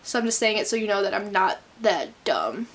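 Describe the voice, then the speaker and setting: A young woman talking casually to her camera at home about a book she is reading, chatty and candid, with quick asides and casual swearing. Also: mid-range voice, sing-song. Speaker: book vlogger. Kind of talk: casual vlog chat to camera. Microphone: camera mic.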